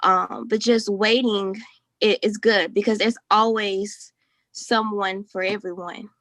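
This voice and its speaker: Interview guest, woman in her twenties, casual and sincere, mid-pitched, dry close sound. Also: steady tone, takes it slowly, a bit bright, dry recording. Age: 20s. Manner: casual, filler-heavy, sincere. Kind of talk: candid conversation.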